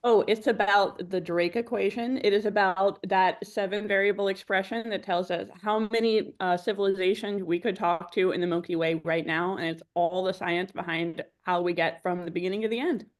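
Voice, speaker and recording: A woman's voice: mid-range voice, fairly even intonation, normal speed, few pauses. A woman panelist, a college professor, speaking in a matter-of-fact, explanatory way over a video call. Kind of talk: panel self-introduction.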